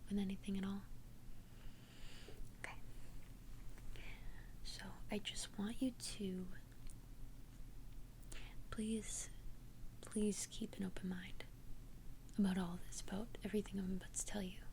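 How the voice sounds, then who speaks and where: A woman playing an immortal young vampire in an ASMR roleplay, confiding tenderly in a breathy whisper with a hesitant, earnest manner. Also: medium pitch, level tone, noisy background, natural voice. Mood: earnest, nervous. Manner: hesitant, halting, earnest confession.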